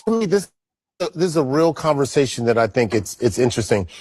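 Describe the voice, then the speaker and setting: A man's voice: medium pitch, animated, a little fast, a little mellow. A man from the United States in casual conversation, thinking aloud with hesitant restarts.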